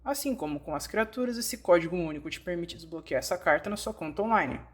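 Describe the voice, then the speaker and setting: A woman's voice: on the low side, expressive, fast talker. Brazilian woman narrating a tutorial. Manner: explanatory, step by step. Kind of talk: scripted instructional narration, fan dub.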